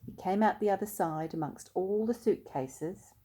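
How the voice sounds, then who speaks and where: An older woman reading a children's story aloud, expressive, with simple questions and asides to young listeners. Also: medium pitch, moderate pace, husky.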